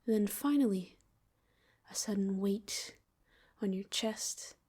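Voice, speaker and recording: A woman voicing a teasing yet tender female demon in an intimate roleplay ASMR style, soft and breathy, often near a whisper. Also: a little high. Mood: menacing, sensual. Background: music.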